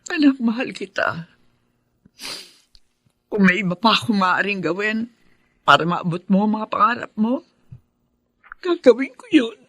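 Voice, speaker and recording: A woman's voice: mid-range voice, animated, a little slow, long pauses, a bit bright, no room sound. An actress playing a worried, loving middle-aged mother from a poor family in a radio drama. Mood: apologetic, tender.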